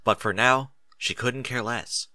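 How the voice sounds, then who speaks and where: A man reading a scripted story as a narrator, in an expressive and deliberate dramatic style. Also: mid-range voice, no room sound, clean studio sound. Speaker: narrator of fan fiction audiobook.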